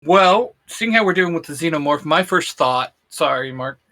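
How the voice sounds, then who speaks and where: Bright-voiced man in fan chat. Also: on the high side, expressive, moderate pace. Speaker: co-host. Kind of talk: casual fan discussion.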